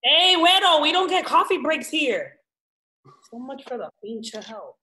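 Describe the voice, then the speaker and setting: Bossy mocking cook, high bright voice, brash, roomy echoing call, comedy read. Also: female voice, very animated, measured pace, full character voice. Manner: brash, calling out. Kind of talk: comedy table read acting.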